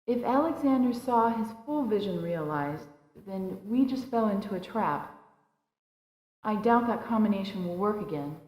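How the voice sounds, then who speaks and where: An actress playing a level-headed, cautious woman versed in ancient Egypt, in a measured, ominous audio drama style. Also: mid-range voice, a little mellow, close to an everyday voice. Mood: grave, calm. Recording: echoey stone chamber reverb.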